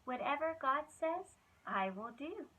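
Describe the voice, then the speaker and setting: A woman's voice: high-pitched, very animated, unhurried, phone-quality sound, a little hiss or hum. A woman voicing a humble, awed young woman of faith in a storybook, earnest and full of wonder. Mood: humble, trusting.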